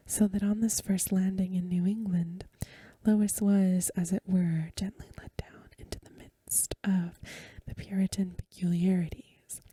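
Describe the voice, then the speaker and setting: A woman reads a story aloud in a hushed, breathy, mostly whispered ASMR style, unhurried and soothing, close to the microphone. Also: mid-range voice, no echo. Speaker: ASMR reader and host. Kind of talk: soft-spoken ASMR reading of a Victorian story.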